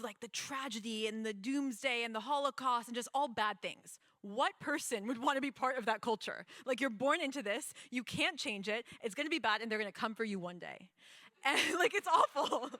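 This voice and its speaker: Bright-voiced young woman, candid. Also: on the high side, lively intonation, brisk, dry recording, studio quality. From United States. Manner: earnest, laughs often, many fillers and restarts. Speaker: guest storyteller. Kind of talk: candid conversational storytelling.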